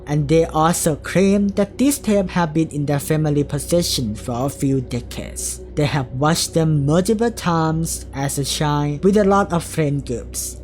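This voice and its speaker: A woman's voice: low voice, a little mellow, slight background noise. A woman reading scripted analysis as the narrator of a video essay.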